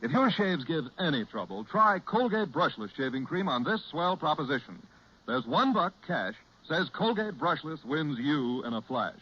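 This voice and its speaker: A man's voice: on the high side, very animated, normal speed, breathy edge. An American man announcing on a 1940s radio show with polished, emphatic salesmanship. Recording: muffled, noisy 1940s radio broadcast.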